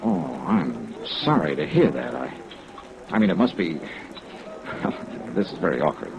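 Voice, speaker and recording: An actor playing a tough, smooth middle-aged male insurance investigator who narrates his case in a clipped, wry 1950s radio style. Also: a bass voice, very animated, slow, background noise, full character voice. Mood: apologetic. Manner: clipped, wry hardboiled delivery. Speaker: lead actor and narrator of a detective serial. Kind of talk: 1950s radio detective acting. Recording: dull, lo-fi vintage radio recording.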